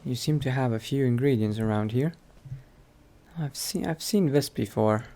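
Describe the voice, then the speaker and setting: A man's voice: medium pitch, moderate pace, warm tone. A man doing casual, improvised roleplay commentary as he plays a video game, laid-back and hesitant. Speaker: gamer roleplaying as his character.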